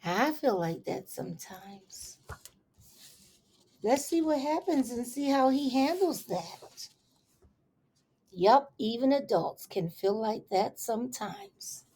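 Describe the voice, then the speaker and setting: A woman teacher reads a picture book aloud to young children at a slow, careful pace. Her voice sits a little high, and she lingers over the words with expressive storytime phrasing. Now and then she drops into soft asides to her listeners. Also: slight background noise. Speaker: elementary school teacher.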